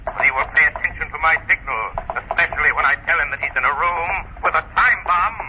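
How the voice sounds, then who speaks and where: An actor playing an unseen crime-fighting avenger, cool, resolute and taunting, with commanding, dramatic delivery in an old-time radio thriller. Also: male voice, a little high, lightly acted. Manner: dramatic, commanding, deliberate enunciation. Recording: noisy muffled old radio broadcast.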